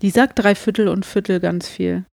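A woman co-hosting a podcast, explaining things in a casual, halting, self-correcting way. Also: medium pitch, fairly even intonation, gravelly, a little mellow, no room sound, clean studio sound. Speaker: podcast co-host.

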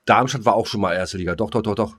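A man's voice: fairly low pitch, quick pace, a bit bright. A man co-hosting a podcast in casual chat, colloquial and given to short, clipped remarks. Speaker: podcast co-host.

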